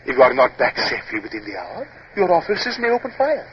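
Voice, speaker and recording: An actor playing a smug, cruel Spanish sea captain with oily mock courtesy in a 1950s radio drama. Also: male voice, fairly high pitch, wildly swooping pitch, quick pace. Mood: mock-courteous. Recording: noisy muffled old radio broadcast.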